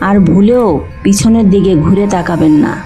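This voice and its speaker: A woman plays a young ghost woman in a horror cartoon. She is brusque, commanding and insistent, with a soft-edged, mellow voice over faint music. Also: mid-range voice, normal speed, natural voice. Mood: stern, warning. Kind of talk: Bengali animated horror story acting.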